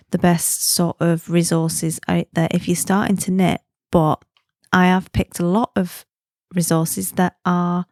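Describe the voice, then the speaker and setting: A woman's voice: lowish voice, dark tone, dry recording, clean studio sound. A woman from the UK hosting her own podcast, chatting in a friendly, easygoing way and laughing easily. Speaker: podcast host. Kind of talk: casual chatty podcast monologue.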